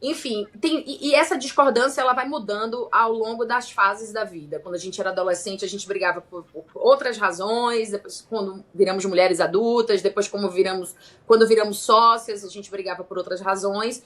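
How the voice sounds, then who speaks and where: A woman from Salvador with a Bahian accent, co-hosting a podcast as a journalist and presenter in lively, chatty talk. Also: mid-range voice, a little fast. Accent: Bahian Brazilian Portuguese. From Salvador, Bahia, Brazil. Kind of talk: casual chat. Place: home studio, remote recording.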